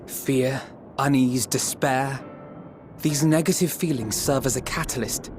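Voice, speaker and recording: An actor playing a thoughtful scholar who reasons through a theory in a measured, analytical way in a game cutscene. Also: male voice, medium pitch, lightly acted. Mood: pensive. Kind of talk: video game cutscene acting. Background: orchestral music.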